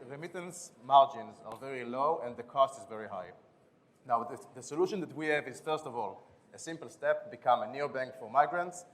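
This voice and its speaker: Israeli-accented man giving a fast startup pitch. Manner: quick, businesslike delivery with restarts. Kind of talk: conference pitch and product demo. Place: conference stage.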